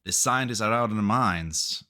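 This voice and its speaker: Casting: male actor for concerned, dutiful staffer, very low bright voice, game dub. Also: moderate pace. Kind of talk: scripted game fan dub acting.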